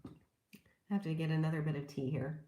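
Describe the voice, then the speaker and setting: A woman demonstrating papercrafting in a gentle, chatty tutorial, a little hoarse from a lingering cold. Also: low-pitched, flat delivery, moderate pace, reverberant. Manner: gentle, chatty, laughs softly while working. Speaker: papercrafting instructor. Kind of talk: casual craft tutorial demonstration.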